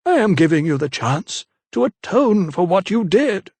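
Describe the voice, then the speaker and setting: A man's voice: high voice, very animated, creaky, darkish tone, no echo, voice pushed up, full character voice. A man voicing a menacing, preachy old vicar. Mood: unctuous. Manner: slow, unctuous, preaching. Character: zealous, menacing silver-haired old vicar.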